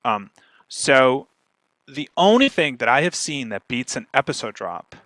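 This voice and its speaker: A man's voice: medium pitch, sing-song, unhurried, a bit bright, no room sound, poor audio. A man giving an informal instructional presentation as a speaker, explaining things step by step and checking in with listeners as he goes. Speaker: speaker, podcast marketer giving a talk.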